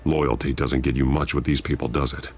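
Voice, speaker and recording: A man playing a grim masked vigilante detective in a video game, with a gravelly growl and a terse, stern, deliberate delivery. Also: rumbling low, flat delivery, darkish tone. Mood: grim, focused.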